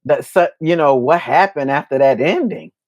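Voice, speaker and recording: A woman's voice: low-pitched, normal speed, darkish tone, no echo, highs cut off. A middle-aged woman with an American accent chatting casually about books as a co-host, emphatic and repeating herself. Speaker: co-host of a book review show. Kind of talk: casual book chat.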